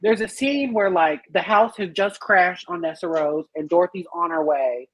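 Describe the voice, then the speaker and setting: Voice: medium pitch, normal speed, no echo. A podcast panelist chatting casually about a film, explaining animatedly and acting out reactions, with some casual swearing. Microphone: online group call. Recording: muffled, lo-fi online call audio.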